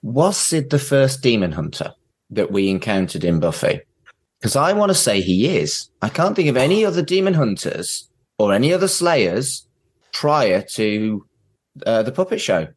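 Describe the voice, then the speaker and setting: Guy hosting a casual pop culture podcast, mid-pitched voice, opinionated, bit sweary. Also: big pitch swings, moderate pace, husky. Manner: opinionated, sweary, asks questions. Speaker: podcast host.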